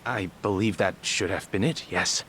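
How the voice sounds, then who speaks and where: Low-voiced, courteous, evasive doctor. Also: male voice, moderate pace, fairly bright tone, slight background noise. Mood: nervous, flustered. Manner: hesitant, formal, apologetic. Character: evasive middle-aged male doctor, courteous, measured, cornered. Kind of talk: dramatic video game cutscene acting.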